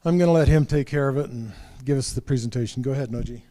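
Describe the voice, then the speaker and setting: Mellow-voiced man running a club meeting. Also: medium pitch, quick pace. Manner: relaxed, rambling with fillers and restarts. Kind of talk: casual announcements at a club meeting. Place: meeting room.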